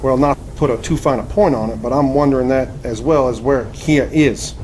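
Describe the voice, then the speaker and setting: A man's voice: mid-range voice, a little fast, a little mellow, slight background noise, natural voice. An actor playing a courteous, bewildered nineteenth-century man with hesitant, old-fashioned formality in an audio drama. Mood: polite, uneasy. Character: polite, bewildered nineteenth-century American man, naive newcomer.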